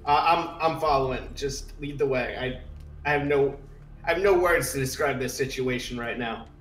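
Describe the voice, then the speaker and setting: A man's voice: medium pitch, moderate pace, fairly bright tone. Casual male player in an echoey room. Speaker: roleplay game player. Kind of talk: casual tabletop roleplay chat. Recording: roomy echo, faint music behind.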